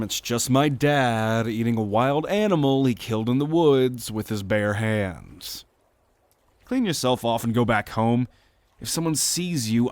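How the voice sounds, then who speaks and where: A man's voice: mid-range voice, no echo, close to an everyday voice. A man playing a laid-back young guy in an ASMR roleplay audio drama, casual, teasing and sarcastic, with free swearing. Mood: exasperated, wry.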